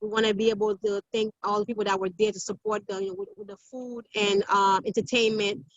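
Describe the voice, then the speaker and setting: A woman's voice: mid-range voice, level tone, brisk, no room sound. A woman born in Haiti, interviewed as an activist, telling her story emphatically with many fillers and restarts over a video call. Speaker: guest activist. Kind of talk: interview recounting events. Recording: dull lo-fi dry sound.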